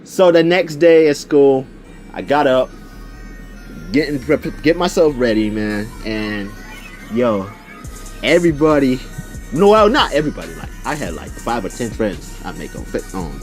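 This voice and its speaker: A young man telling a personal story casually, in an animated, slangy way, acting out the conversations as he goes. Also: medium pitch, unhurried, pauses often, faint music behind. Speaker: video storyteller.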